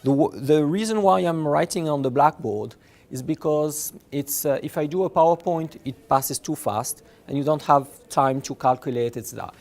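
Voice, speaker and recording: A man with a French accent giving an informal university lecture, halting and repeating words as he goes, in an approachable way. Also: on the high side, vocal fry, a little mellow. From France, originally from Morocco. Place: lecture room with blackboard.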